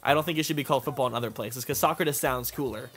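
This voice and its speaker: A man's voice: mid-range voice, rapid-fire, a bit bright. A man chatting casually over a game, joking, rambling and swearing. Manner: joking, profane, rambling asides. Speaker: let's play host. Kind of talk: casual gaming banter.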